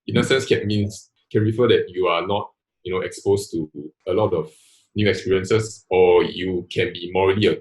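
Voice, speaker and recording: Earnest Singaporean man, low and roomy. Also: fairly even intonation, normal speed, darkish tone. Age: 20s. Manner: earnest, thinks aloud with restarts and "lah" particles. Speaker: panel guest. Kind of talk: casual panel discussion.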